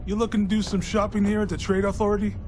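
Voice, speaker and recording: An actor playing a chatty young space-shop clerk in a video game, with a slangy, laid-back, eager manner. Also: male voice, high voice, little pitch movement, racing, over music, close to an everyday voice. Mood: friendly, eager.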